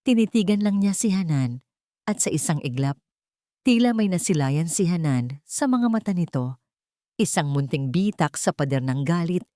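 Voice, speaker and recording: A woman narrating a scripted story in an earnest, measured and emotive way. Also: fairly low pitch, dark tone, no echo, studio quality. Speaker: audiobook narrator.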